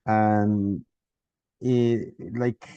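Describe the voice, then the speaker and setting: A man's voice: fairly low pitch, little pitch movement, very slow, mellow, smooth, no echo, muffled recording. Costa Rican man lecturing over a video call. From Costa Rica. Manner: hesitant, many restarts and fillers. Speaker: guest lecturer. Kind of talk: online academic lecture. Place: home, remote video call.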